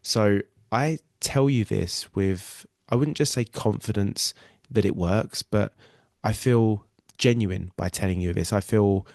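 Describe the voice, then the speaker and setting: Gravelly man with a cold. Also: lowish voice, slow, darkish tone, no echo, studio quality. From England. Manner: earnest, reassuring, repeats himself. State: nasal from a cold. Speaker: weight loss coach and podcast host. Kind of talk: live webinar presentation.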